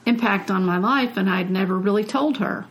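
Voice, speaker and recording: Older woman author, medium-pitched voice. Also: normal speed, a little hiss or hum. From North Carolina, United States. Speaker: author, interview guest. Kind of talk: conversational personal storytelling.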